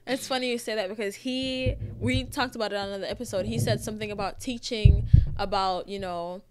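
A woman from Jamaica hosting a podcast, chatty and quick to laugh in casual conversation. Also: medium pitch, steady tone, noisy background. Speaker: podcast host. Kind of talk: casual chat.

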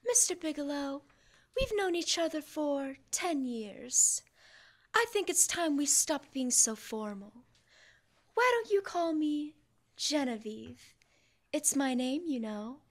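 High, lovestruck young woman played by a student actress. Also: lively intonation, slow. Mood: coy, hinting. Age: young adult.

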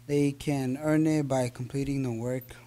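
A man's voice: mid-range voice, fairly even intonation, measured pace, dark tone. A teenage boy reading a prepared speech at a school board meeting, with small restarts. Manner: reads carefully with small restarts. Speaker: student speaker. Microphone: podium microphone.

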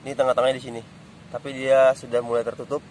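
A man's voice: medium pitch, fast talker, a little hiss or hum. A man giving a casual walking tour of a stadium construction site, pointing things out as he goes, filmed outdoors on a phone. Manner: relaxed, pointing things out as he walks. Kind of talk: casual walk-and-talk commentary. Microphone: phone camera held low while walking.

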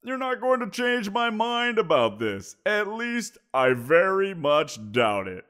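A man's voice: high voice, very animated, a bit bright, full character voice. A man playing a smug teasing giant creature. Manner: playful, teasing, drawn-out. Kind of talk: audio roleplay.

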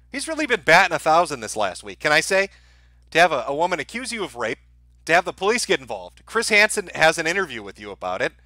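A man's voice: fairly high pitch, bright, forceful tone, no room sound. A man giving casual livestream commentary as host, with a sardonic, mocking and profane way of talking.

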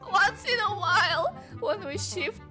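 A woman's voice: very high-pitched, lively intonation, measured pace, over loud music. A young woman with a Slovak accent, chatting casually and giggling while playing a game with her partner. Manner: giggly, chatty, easily amused. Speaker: co-host gamer. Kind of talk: casual gameplay chat.

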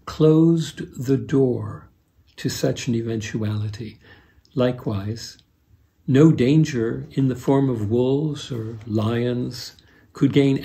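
An American man in his seventies giving a scripted sermon as a pastor, measured and deliberate, with pauses. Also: medium pitch, creaky, dark tone. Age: 70s. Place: furnished room with fireplace, indoors.

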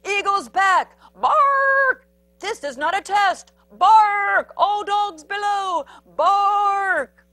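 Boastful prairie dog watchdog for a children's story, female reader, high-pitched, loud bark and snarl. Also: lively intonation, slow pace, dry recording, full character voice. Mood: barking alarm. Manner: barking, snarling.

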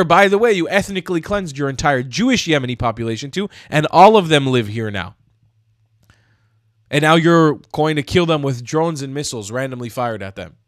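A man's voice: medium pitch, fairly bright tone, no room sound. Young man, angry sarcastic rant, swears a lot, studio mic, dead-dry room. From United States. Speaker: commentary youtuber. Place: small room indoors. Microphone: studio mic on stand, headphones.

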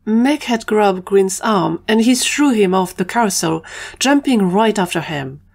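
A woman's voice: mid-range voice, expressive, unhurried, creaky, a little mellow. A woman narrating a scripted audio drama story.

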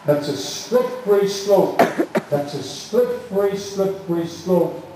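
A man's voice: high-pitched, slow. A man coaching riders at a show jumping clinic, calling out terse, emphatic instructions and repeating short commands. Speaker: horse riding coach. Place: large covered indoor riding arena. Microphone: distant camera at the side of the arena. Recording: echoey riding arena, distant mic, some noise.

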